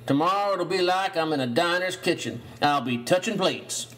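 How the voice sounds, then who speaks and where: A man's voice: fairly high pitch, animated, normal speed, a bit bright, pitched above the performer's own voice. A man voicing a folksy, boastful oil-drilling uncle with a chummy, breezy manner in an audio story. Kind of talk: audio horror story character voice.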